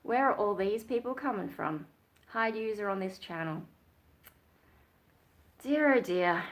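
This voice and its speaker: A woman's voice: mid-range voice, animated, measured pace. A young Australian woman chatting casually on a livestream, reading out and answering viewer comments. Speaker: YouTuber.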